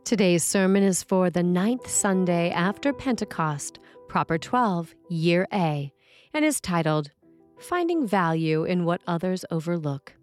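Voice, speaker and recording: A woman preacher reading a scripted sermon aloud in measured, deliberate phrases with emphatic pauses. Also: lowish voice, expressive, faint music behind. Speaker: preacher, priest.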